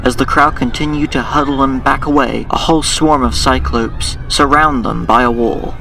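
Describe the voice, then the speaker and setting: A man acting as narrator of a superhero audio drama, reading scripted scenes in a deliberate, dramatic way. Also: mid-range voice, steady tone, vocal fry, bright, forceful tone, distant mic.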